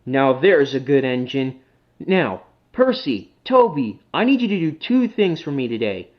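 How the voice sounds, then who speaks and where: Man playing courteous, animated railway manager. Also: medium pitch, unhurried, lo-fi sound. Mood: instructive. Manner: polite, explanatory, businesslike. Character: courteous male railway manager, instructive and encouraging.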